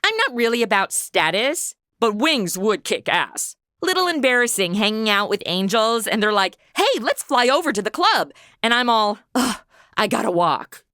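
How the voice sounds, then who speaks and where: A woman's voice: on the high side, very animated, normal speed, bright, forceful tone, dry recording, studio quality. A woman playing a flippant, chatty, clueless apprentice angel in an audio comedy, talking in casual slang. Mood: sassy.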